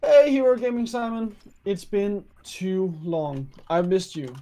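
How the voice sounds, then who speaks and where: Danish male co-caster, slightly high voice, playful teasing banter. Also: a little slow, warm tone. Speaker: esports co-caster. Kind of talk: casual stream commentary.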